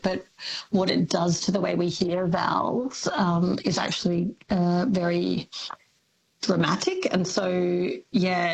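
Gravelly-voiced woman explaining speech. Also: lowish voice, poor audio. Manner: explanatory, with frequent fillers. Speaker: linguist guest. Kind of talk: radio discussion, explaining.

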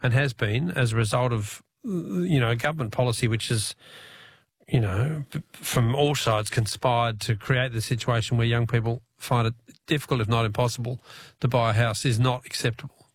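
A man's voice: mid-range voice, moderate pace, no room sound. A man with an Australian accent hosting talkback radio, chatty, often restarting his sentences.

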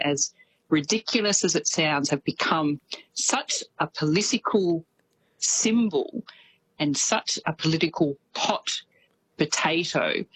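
An Australian woman reporting as a radio correspondent, telling her story with animated, amused energy. Her voice sits on the low side, with a faint hum of ambience behind her. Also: poor audio. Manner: lively, animated storytelling with a laugh in the voice. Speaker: New Zealand correspondent. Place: based in Dunedin, on a remote line to the studio.

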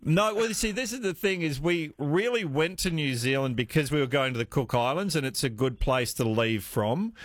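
A man hosts a talkback quiz on the radio, his pitch swinging up and down as he chats and laughs with callers. The studio sound is dry and close. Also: normal speed, few pauses, dry recording. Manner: animated, chatty, laughing easily. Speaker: radio host.

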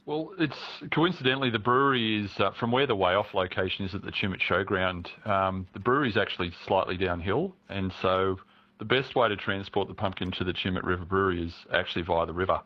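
A man, a pumpkin grower, chatting as a radio guest in a relaxed, easygoing way with small laughs. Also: low voice, quick pace, dull audio. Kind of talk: casual radio interview.

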